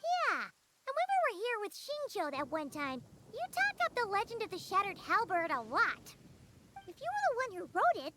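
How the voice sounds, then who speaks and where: Squeaky tiny fairy companion. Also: female voice, very animated, moderate pace, noisy background. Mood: curious. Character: tiny childlike floating fairy companion, perky and inquisitive. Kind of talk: English video game voice acting. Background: music.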